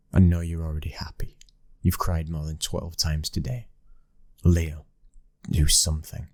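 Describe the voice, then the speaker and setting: British guy doing a bossy menacing young mafia son, asmr roleplay, really deep n monotone. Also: husky, dry recording, clean studio sound, exaggerated voice. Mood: irritated. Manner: terse, domineering, menacing. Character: cold, commanding twenty-one-year-old mafia boss's son.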